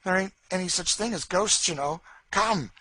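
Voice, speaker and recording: A cold, taunting woman with a raised, forceful, slightly husky voice, as a male audiobook reader puts her on. She speaks slowly and eerily, every line a sneer aimed at the master she torments. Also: high-pitched, dry recording, pitched above the performer's own voice. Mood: sneering, eerie. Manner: sneering, eerie, slow and taunting. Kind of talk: audiobook character voice.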